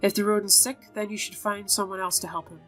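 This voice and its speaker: A woman's voice: medium pitch, steady tone, fast, fairly bright tone, faint music behind, close to an everyday voice. A woman voicing a flamboyant male otherworldly circus showman in a game, theatrical, languid and condescending. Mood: scornful, aloof.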